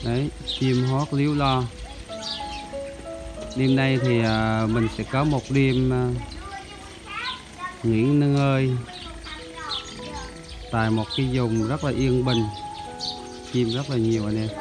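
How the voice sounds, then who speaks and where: A man's voice: medium pitch, fairly even intonation, slow pace, pauses often. A man with a southern Vietnamese accent narrating a vlog casually, chatting familiarly to his viewers. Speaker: vlogger. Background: music.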